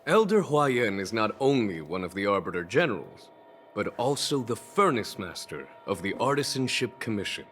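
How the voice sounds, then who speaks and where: An actor voicing a calm, composed male general who mentors a young apprentice. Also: lowish voice, a little hiss or hum. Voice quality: calm, measured. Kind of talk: video game voice acting.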